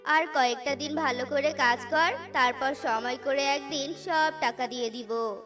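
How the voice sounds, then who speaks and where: A woman's voice: high-pitched, measured pace, music in the background. A woman voicing a stingy, threatening male employer in a cartoon. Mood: harsh. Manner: scolding, threatening. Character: harsh stingy male boss Jogyo.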